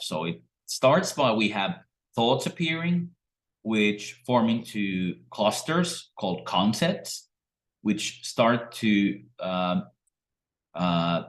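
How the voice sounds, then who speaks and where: A middle-aged man, teaching in a halting, musing way with many restarts, talking to a webcam at his desk. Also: on the low side, fairly bright tone. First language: Finnish. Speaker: spiritual teacher. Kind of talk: unscripted talk to camera. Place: small room at home, indoors. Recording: roomy echo.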